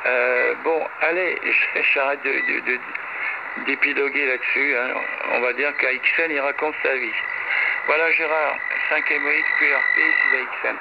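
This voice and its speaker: A man's voice: mid-range voice, fairly even intonation, normal speed, creaky. An older man chatting casually over amateur radio, rambling and repeating himself with frequent tag words. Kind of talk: casual ham radio chat with call signs. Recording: noisy, dull amateur radio link. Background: radio noise.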